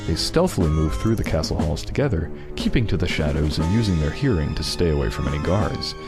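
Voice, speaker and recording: A man's voice: rumbling low, very animated, brisk, over loud music. A man reading scene headings and action as narrator of an amateur fan fiction script.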